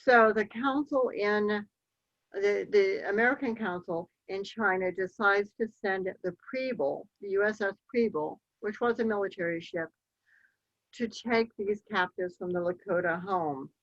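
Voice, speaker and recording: Woman presenter, unhurried storyteller, mid pitch, muffled lo-fi recording. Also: dry recording. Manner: measured, slow storytelling with occasional ums. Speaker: local history speaker. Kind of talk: slide-show history talk. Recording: dull, lo-fi dry recording.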